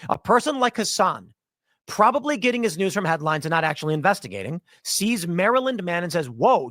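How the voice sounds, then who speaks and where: Guy from chicago ranting about the news, high bright voice, dry close sound. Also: dry recording. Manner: emphatic, argumentative, frequent restarts. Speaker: political commentator. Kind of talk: casual monologue commentary.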